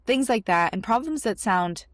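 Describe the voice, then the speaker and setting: A woman's voice: a little high, expressive, normal speed, no echo, clean studio sound. A twenty-year-old woman from Dubai, co-hosting a podcast in earnest, thoughtful chat. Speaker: podcast co-host.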